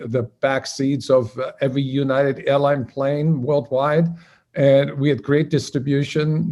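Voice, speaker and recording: An older man with an Austrian accent, a guest telling his story in an easygoing way, with frequent "uh" fillers. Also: medium pitch. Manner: relaxed, conversational, frequent uh fillers. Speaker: guest, business founder. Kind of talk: storytelling interview answers.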